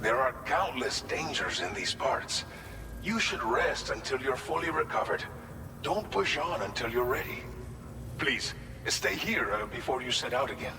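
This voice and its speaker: An actor playing an earnest, polite settlement official in a video game, speaking a little hesitantly. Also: male voice, medium pitch, very animated, measured pace, vocal fry, background noise. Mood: grateful, courteous. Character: earnest, grateful man running a post-apocalyptic settlement facility. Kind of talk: video game cutscene acting.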